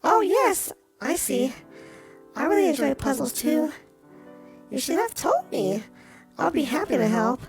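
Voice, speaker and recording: A woman's voice: sits high, big pitch swings, a little slow, pauses often, exaggerated voice. A woman playing a jealous, melodramatic porcelain doll in a game, speaking in a prim, pouty, formal way. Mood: politely eager. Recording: loud music behind the voice. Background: music.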